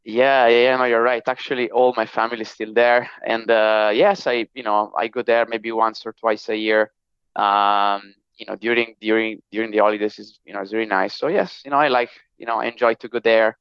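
A man's voice: fairly low pitch, steady tone, a little fast, smooth, dry recording, muffled recording. A man in his thirties from northern Italy, speaking English with an Italian accent as a podcast guest, hesitant with many fillers and restarts. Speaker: podcast guest, technology executive. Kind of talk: casual interview talk.

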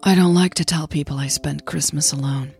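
A woman's voice: low-pitched, fairly even intonation, a little fast, gravelly, darkish tone, slightly rough, faint music behind, full character voice. A woman playing a guilty, guarded, shy woman in an audio drama, hesitant and apologetic. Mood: defensive.

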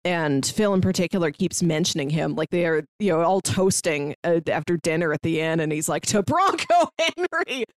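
A woman co-hosting a review podcast, chatting casually and in an animated way about a film. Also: on the low side, normal speed, few pauses, no echo, clean studio sound.

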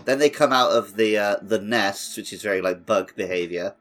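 A man's voice: fairly low pitch, moderate pace, a bit bright, soft background music. A man hosting a podcast, chatting casually about a video game. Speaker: podcast host.

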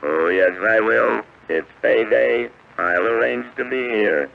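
Low gravelly talking goose, deadpan and sardonic, old noisy radio. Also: male voice, a little slow, narrow, tinny audio. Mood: menacing deadpan. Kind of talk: 1930s radio comedy acting.

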